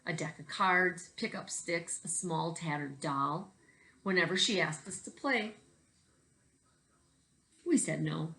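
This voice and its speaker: A woman's voice: fairly low pitch, takes it slowly, vocal fry, long pauses, bright, forceful tone. A woman reading a storybook aloud in the manner of a teacher addressing children.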